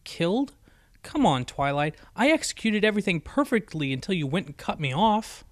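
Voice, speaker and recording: A man's voice: sits high, very animated, normal speed, no room sound, studio quality, pitched above the performer's own voice. A man voicing a brash but easily sheepish young female pegasus pony in an audiobook, with a stammering, eager manner. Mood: indignant. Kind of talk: acted audiobook dialogue.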